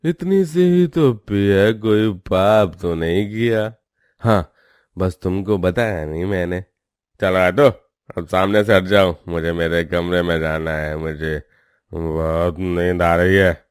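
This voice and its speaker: Boastful drunk husband for a family drama, low, smooth, arrogant young man, acted by a man. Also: slow pace, no echo, studio quality. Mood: defiant, sleepy.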